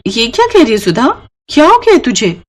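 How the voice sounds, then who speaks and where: A feisty young Indian daughter-in-law as a woman narrator acts her out for a moral storybook. The voice sits raised in pitch, with a teasing, quarrelsome bite and quick temper. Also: huge pitch swings, full character voice. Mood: mock bossy, teasing.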